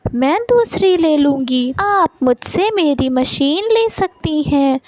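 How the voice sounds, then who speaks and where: Woman voicing a polite, high-pitched little cartoon bird. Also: animated, slow, no echo. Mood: calm, assured. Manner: polite, gentle wording. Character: kind, humble female bird, polite and self-assured. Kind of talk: Hindi cartoon character voice.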